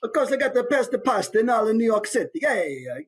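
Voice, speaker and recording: A man's voice: extremely high pitch, big pitch swings, fast, slight background noise, pitched above the performer's own voice. A man voicing a brash, boastful chef, in a character demonstration. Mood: boastful, brusque. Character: brash Italian New York chef, boastful.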